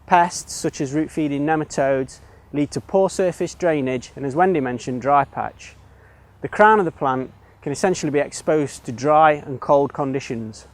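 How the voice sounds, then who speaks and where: A man explaining and demonstrating as an instructor in an educational film. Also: fairly high pitch.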